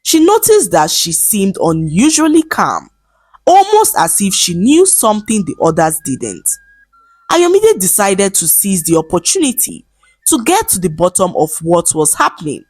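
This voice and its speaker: A woman narrating a scripted folktale in an expressive, dramatic storytelling manner. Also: mid-range voice, normal speed, slightly rough, no echo.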